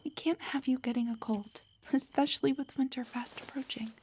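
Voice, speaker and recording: A woman playing a loving, protective elf wife in an intimate roleplay audio, speaking tenderly and reassuringly in a breathy whisper. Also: high voice, steady tone, moderate pace, slight background noise, lightly acted. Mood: tender concern.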